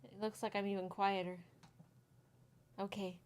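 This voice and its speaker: Soft-spoken giggly woman. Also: medium pitch, flat delivery, normal speed, clear, resonant tone. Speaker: co-host of a gameplay show. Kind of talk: casual chat over gameplay.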